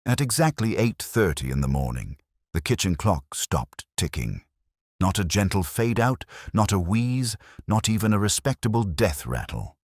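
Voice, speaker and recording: A man's voice: on the low side, big pitch swings, normal speed, no echo, studio quality. A man reading a scripted detective story as narrator and host, with a dramatic, wry delivery.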